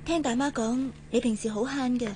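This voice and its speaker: Actress as gentle, mellow-voiced young Hong Kong woman. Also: sits high, a little fast, slight background noise, lightly acted. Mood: polite, gentle. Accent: Hong Kong Cantonese. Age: young adult. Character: gentle, dutiful young working woman, quietly guarded. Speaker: actor in radio drama. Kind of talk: Cantonese radio drama dialogue.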